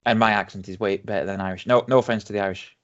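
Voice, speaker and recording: A man with a Yorkshire accent co-hosting a casual whisky tasting podcast. He reads tasting notes slowly and teases his friend. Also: lowish voice, steady tone. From Yorkshire, England. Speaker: podcast co-host. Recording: dull lo-fi home podcast audio.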